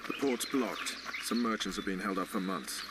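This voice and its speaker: An actor playing a gruff, crude special forces commander in a video game, curt and authoritative. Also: male voice, fairly low pitch, moderate pace, heavy background noise, close to an everyday voice. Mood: gruff, businesslike.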